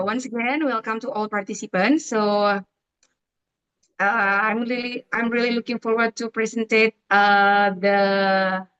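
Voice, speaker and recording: A woman's voice: fairly high pitch, a bit bright, smooth, highs cut off. A young woman with an Indonesian accent, speaking English as a second language, presenting an online orientation with many fillers and restarts. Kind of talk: presenting on a video call. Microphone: video call.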